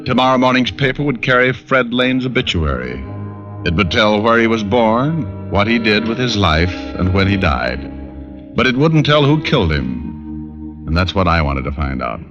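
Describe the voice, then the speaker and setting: An American actor playing a dry, wisecracking hard-boiled private detective in old-time radio style. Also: male voice, on the low side, sing-song, normal speed. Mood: brooding, wry. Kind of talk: 1940s-50s radio detective acting, first-person narration. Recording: dull old radio recording with music.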